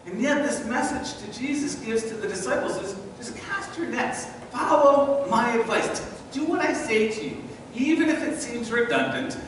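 A middle-aged man preaching a sermon as pastor in a church sanctuary, animated and gesturing as he walks before the altar. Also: high voice, a little hiss or hum. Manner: animated, sweeping arm gestures while walking. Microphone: far camera in the church. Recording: echoey church from a distance.

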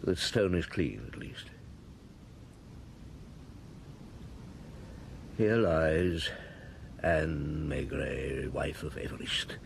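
An actor in a radio drama playing a brooding police chief inspector, deliberate and questioning, thinking aloud. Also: male voice, rumbling low, vocal fry, exaggerated voice. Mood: somber. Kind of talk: BBC radio drama acting. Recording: noisy old radio broadcast.

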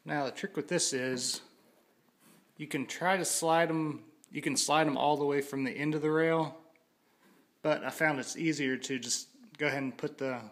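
A man's voice: mid-range voice, fairly bright tone. A man giving a casual how-to walkthrough in a garage, talking plainly with frequent restarts as he films on a handheld phone. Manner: plain, halting, restarts mid-sentence. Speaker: DIY hobbyist showing a build. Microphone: handheld phone camera.

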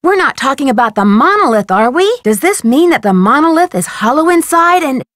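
A woman playing a friendly, earnest, inquisitive young female detective in an adventure video game, coaxing and questioning with clear diction. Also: high voice, big pitch swings, a little fast, fairly bright tone, no room sound, studio quality. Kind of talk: scripted adventure game dialogue.

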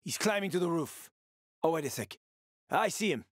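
A man's voice: fairly high pitch, animated, moderate pace, no echo, studio quality. An actor playing a roguish, wisecracking male survivor in a video game, talking in a casual, teasing, sweary way. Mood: urgent.

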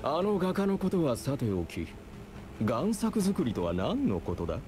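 Haughty villain with swooping voice. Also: male voice, mid-range voice, wildly swooping pitch, unhurried, music in the background. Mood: scoffing. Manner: condescending, scoffing. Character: haughty, dismissive middle-aged male art dealer villain. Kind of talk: anime game voice acting.

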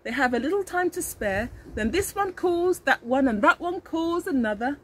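Animated woman teacher reading a storybook. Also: high-pitched, moderate pace. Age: middle-aged. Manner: animated, addressing children with questions. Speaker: early years teacher. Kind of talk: expressive read-aloud of a children's picture book. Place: outdoors in a leafy garden. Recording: faint outdoor background noise.

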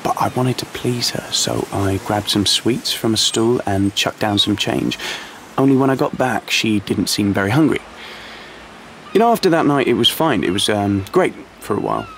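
A man's voice: on the low side, lively intonation, moderate pace, gravelly, a bit bright, a little hiss or hum. An actor playing a naive, lovesick young British man in an audio play, telling his story in a colloquial, confiding way. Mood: wistful. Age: young adult. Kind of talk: audio play monologue and dialogue.

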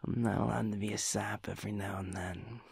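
A man playing a playful, cocky, doting werewolf lover in an intimate audio roleplay, with a breathy, gravelly whisper and a teasing, murmured delivery. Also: fairly low pitch, fairly even intonation, darkish tone, heavily acted. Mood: teasing, affectionate. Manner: teasing, chuckling, slow and murmured.

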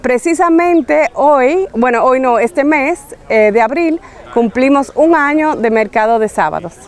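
A woman's voice: high voice, expressive, unhurried. A middle-aged woman explaining things casually in an outdoor interview as a market organizer.

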